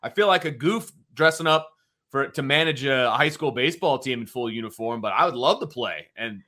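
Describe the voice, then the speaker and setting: A man with a bright, forward voice at a medium pitch co-hosts a sports talk show. He chats casually and states his opinions with emphasis. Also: expressive, a little fast.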